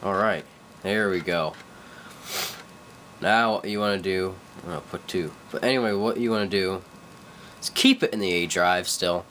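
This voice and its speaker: Young guy with a cold, stuffy nose, real low voice, rambling tutorial, bit of room hiss. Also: moderate pace, long pauses, a bit bright. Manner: rambling, self-correcting, full of fillers. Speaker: tutorial maker. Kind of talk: casual screen-recorded tutorial. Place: dim room at a computer.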